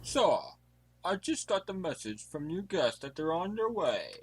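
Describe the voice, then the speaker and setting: Man playing a high, lilting polite butler. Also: big pitch swings, measured pace, slightly rough, heavily acted. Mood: deferential. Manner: formal, deferential. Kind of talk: amateur audio drama acting. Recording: quiet, noisy mic with clicks.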